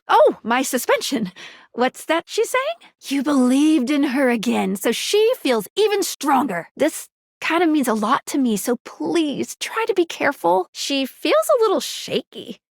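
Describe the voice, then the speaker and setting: A woman voicing a perky, earnest pair of magic eyeglasses who guides the player in a video game, quirky and expressive with cute little interjections. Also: high voice, dry recording, studio quality, full character voice.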